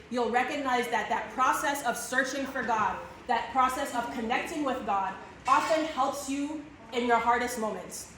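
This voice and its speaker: A woman's voice: fairly high pitch, a bit bright. Earnest woman speaking in an echoey church. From Barbados. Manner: earnest, restarts phrases, asks the audience questions. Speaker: psychiatry resident giving a church talk. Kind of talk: talk to a church audience. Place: church in the Caribbean. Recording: echoey church room from far off, faint noise.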